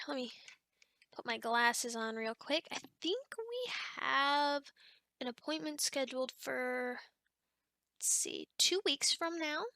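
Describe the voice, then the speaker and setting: A woman's voice: sits high, bright, forceful tone, no echo, clean studio sound, exaggerated voice. A woman voicing a chatty, teasing female sleep paralysis demon in an ASMR roleplay, talking in a casual, rambling, hesitant way. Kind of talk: ASMR roleplay, one-sided conversation.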